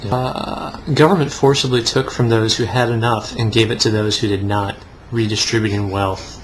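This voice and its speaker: A man's voice: fairly low pitch, steady tone, normal speed, slight background noise, low-quality recording. A young man reading aloud mock-seriously, with wry, sarcastic asides. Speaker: co-host.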